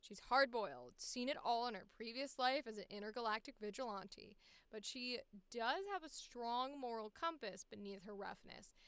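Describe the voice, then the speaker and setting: A woman's voice: fairly high pitch, lively intonation, moderate pace, a bit bright, clean tone, no echo. A woman voice actor chatting casually on a livestream, reading casting calls aloud and musing to herself as she goes. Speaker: voice actor streaming auditions.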